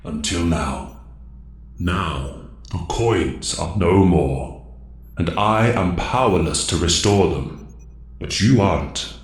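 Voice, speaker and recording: A man playing a weary, solemn alien mentor in an audio drama, speaking gravely with an echo on his voice. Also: low-pitched, animated, slow, long pauses, soft background music. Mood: grave pleading. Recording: echoing, reverberant voice.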